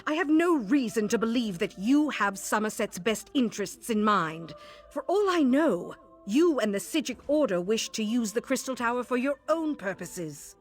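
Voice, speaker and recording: A woman playing a proud, distrustful high elf scholar in a video game, haughty and formal. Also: lively intonation, moderate pace, faint music behind, close to an everyday voice. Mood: indignant, suspicious. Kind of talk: scripted video game dialogue.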